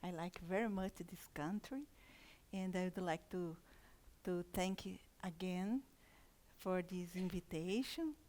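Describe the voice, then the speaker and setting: A woman with a Brazilian Portuguese accent, a university plant scientist giving a conference talk in careful, halting non-native English with restarts. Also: lowish voice, darkish tone.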